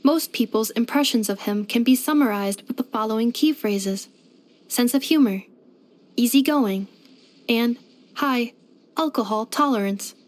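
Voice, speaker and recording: Woman acting a polite robot girl, higher-pitched voice, earnest, exact machine-like phrasing, game character lines. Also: close to an everyday voice. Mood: measured, analytical. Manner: formal, precise, measured delivery with clinical machine-like phrasing. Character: polite female robot maid, earnest, gentle and literal-minded.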